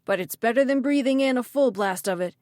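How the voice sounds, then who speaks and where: A woman voicing a practical young man with a sharp, urgent manner in an audiobook story. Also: on the high side, fairly quick, dry recording, clean studio sound, pitched above the performer's own voice, lightly acted.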